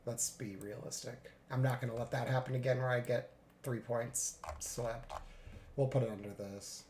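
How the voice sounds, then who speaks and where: A man getting over a cold, a little congested, narrating his live trades in a relaxed way with many fillers. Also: mid-range voice, moderate pace, roomy sound, a little hiss or hum. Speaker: futures day trader streaming live. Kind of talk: live trading commentary.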